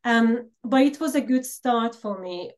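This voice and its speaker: A middle-aged woman from Slovakia with a Hungarian-Slovak accent, a podcast guest telling her life story earnestly with frequent pauses. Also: on the high side, a little mellow. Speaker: podcast guest, empowerment coach. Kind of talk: personal storytelling interview.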